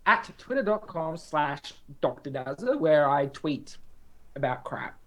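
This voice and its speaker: A woman's voice: deep voice, sing-song, measured pace, fairly bright tone. A woman co-hosting a casual online podcast, joking and mocking herself, talking over a computer mic on a video call. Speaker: podcast co-host. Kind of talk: casual chat.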